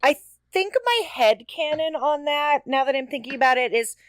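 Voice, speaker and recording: A woman's voice: high-pitched, animated, normal speed, dry recording, studio quality. A woman co-hosting a podcast, talking in casual, conversational discussion. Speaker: podcast co-host. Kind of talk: casual chat, TV episode discussion.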